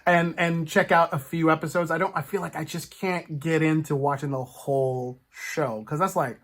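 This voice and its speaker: A man hosting a casual, animated chat about film and TV, acting out imagined reactions as he goes. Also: a little high, normal speed. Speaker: podcast host.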